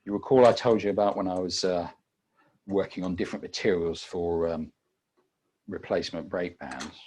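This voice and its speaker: A British man giving an unscripted technical demonstration as a webinar presenter, halting often with repeated words and filled pauses. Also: low-pitched, darkish tone, a little hiss or hum. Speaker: webinar presenter and audio engineer.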